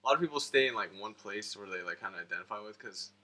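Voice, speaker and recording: A man's voice: lowish voice, lively intonation, quick pace, bright, forceful tone. An American man chatting casually as an interview guest, rambling along informally with lots of filler "like". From United States (Florida and Georgia).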